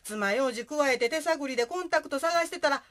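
A woman plays a high-pitched, scolding ghost of a mother in a comic radio drama. She has a Kansai accent, talks bluntly and swings animatedly up and down. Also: expressive, fairly quick, fairly bright tone. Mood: recounting. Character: bossy dead wife and mother, comic ghost.

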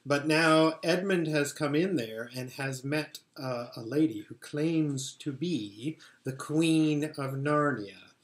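A middle-aged man reading a storybook aloud in a measured, expressive way. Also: a little high. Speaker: pastor reading books aloud. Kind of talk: storybook read-aloud narration. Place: furnished living room indoors. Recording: roomy home webcam sound.

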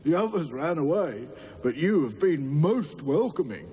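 A man's voice: fairly high pitch, sing-song, dark tone, husky, big-room sound, voice pushed up, heavily acted. A man voicing a huge underground monster who is delighted by everything, drawing out his words. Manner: drawn-out, swooping delivery. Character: huge lumbering underground monster, male, soppy and easily pleased.

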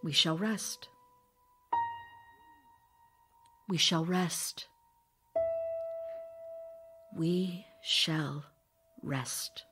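An actress playing a grieving, longing widow in an audio drama, reading intimate letters in a tender, wistful, expressive way. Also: female voice, sits low, over music. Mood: mournful. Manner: tender, wistful, emotionally expressive, pausing delivery.

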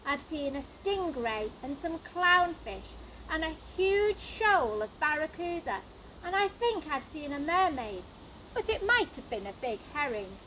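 Woman doing an eager, high-voiced little boy. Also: a little hiss or hum, heavily acted, rough recording. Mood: excited. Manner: emphatic, excitable, drawn-out words.

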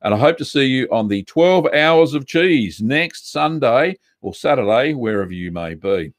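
An older man with an Australian accent, from South Australia, hosting a casual live question-and-answer stream from a home studio, chatty and relaxed with plenty of ums and uhs. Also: medium pitch, normal speed. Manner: relaxed, chatty, many ums and uhs, reads chat aloud. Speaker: livestream host answering viewer questions. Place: home studio room with bookshelves, indoors.

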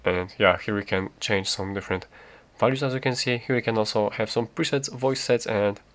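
Male tutorial host, fairly low pitch, spontaneous step-by-step walkthrough with halting phrasing. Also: fairly bright tone, a little hiss or hum.

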